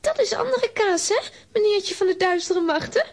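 Very high-pitched feisty little girl. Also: normal speed. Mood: cheeky. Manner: excitable, blunt. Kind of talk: children's audio drama acting.

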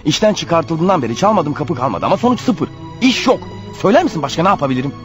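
Radio drama husband: proud, exasperated, pleading, animated and quick, slightly dull recording. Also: male voice, a little high. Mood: defensive, weary. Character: harried, proud, defensive unemployed husband. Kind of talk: radio theatre acting. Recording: dull lo-fi radio play recording, faint music.

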